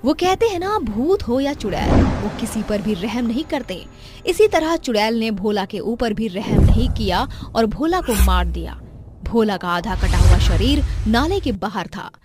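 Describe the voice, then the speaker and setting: A woman's voice: fairly high pitch, big pitch swings, measured pace. A woman reading a scripted story as its narrator, with music behind her. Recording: loud music under the voice. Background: background music.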